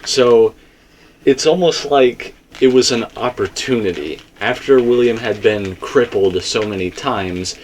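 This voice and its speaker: A man's voice: medium pitch, a little slow, slight background noise, rough recording. A man talking casually and speculatively as a host, emphatic and rambling, often repeating himself. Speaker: video game theorist and host.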